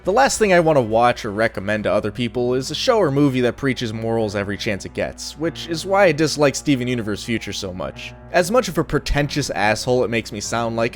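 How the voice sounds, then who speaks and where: A young adult man reading a scripted review in a casual, opinionated, sardonic way. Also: mid-range voice. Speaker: video reviewer.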